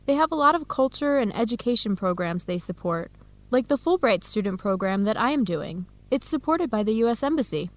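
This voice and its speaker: Casting: eager young woman student, higher voice, clear simple delivery for learners, close dry radio-drama sound. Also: sing-song, a little fast, no room sound. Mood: informative. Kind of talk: scripted educational radio drama dialogue.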